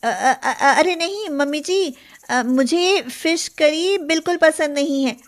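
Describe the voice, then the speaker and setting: Woman acting high-voiced sly young daughter-in-law. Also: slow. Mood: flustered, covering up. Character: young daughter-in-law, sly secret fish lover, sweetly deferential. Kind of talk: acted Hindi moral story dialogue.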